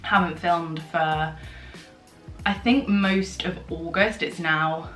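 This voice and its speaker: A young woman, slightly husky from a sore throat, chatting and rambling through a get-ready-with-me vlog with many fillers. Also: lowish voice, fairly even intonation, takes it slowly, fairly bright tone. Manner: rambling, filler-heavy storytelling. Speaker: beauty vlogger. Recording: echoey room with faint music.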